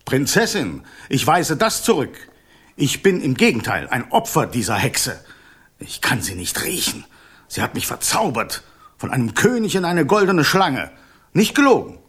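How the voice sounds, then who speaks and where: An enchanted golden snake who was once a king, in a fairy-tale radio play. The voice is rather high for a man and husky, sweeping up and down with pompous courtesy and theatrical indignation. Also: wildly swooping pitch, moderate pace, fairly bright tone, heavily acted. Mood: indignant.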